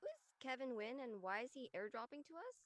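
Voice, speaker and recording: A woman voicing a quirky, teasing high school freshman in a comedy drama, playful and mocking. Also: sing-song, a little fast, fairly bright tone, no room sound. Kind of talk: comedic scripted audio drama dialogue.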